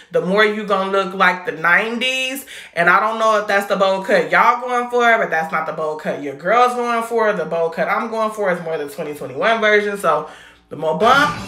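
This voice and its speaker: Sassy American woman with a bright voice. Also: medium pitch, echoey room. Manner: playful, sassy, slangy. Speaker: vlogger showing a hairstyle. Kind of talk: casual vlog narration.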